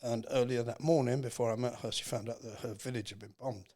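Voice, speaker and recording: A man with an English accent and a mid-pitched voice is a guest in an interview. He tells his story earnestly and reflectively, feeling his way through it with halting pauses. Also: fairly even intonation, dark tone. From England. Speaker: podcast guest.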